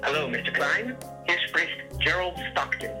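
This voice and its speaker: An actor playing a polite, businesslike man leaving a brisk answering-machine message in a radio drama. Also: on the high side, expressive, music in the background, poor audio.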